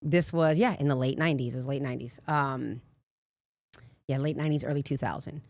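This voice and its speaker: A raspy woman in her forties, a life coach telling candid personal stories in a casual interview. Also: very deep voice, a little fast, a little mellow. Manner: candid, rambling storyteller with frequent asides. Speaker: life coach, podcast guest.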